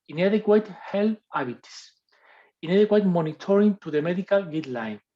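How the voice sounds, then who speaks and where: A woman's voice: lowish voice, mellow. Spanish-accented woman reading a list over a call. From Spain. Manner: halting, with restarts over English words. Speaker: workshop panelist. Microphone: video call. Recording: muffled lo-fi video call audio.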